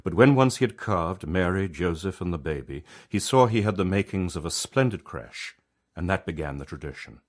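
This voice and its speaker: A man narrating a written story as its author, in a measured, reflective and conversational storyteller's manner. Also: low voice, expressive. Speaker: author reading own story.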